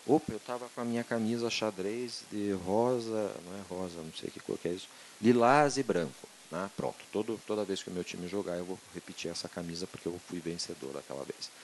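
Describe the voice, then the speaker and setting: Deep-voiced Brazilian doctor lecturing a room. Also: male voice, brisk, few pauses, slight background noise, rough recording. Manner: animated, conversational, full of tag questions. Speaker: physician giving a lecture. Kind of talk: lecture with audience Q&A. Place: conference room with seated audience. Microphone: handheld microphone.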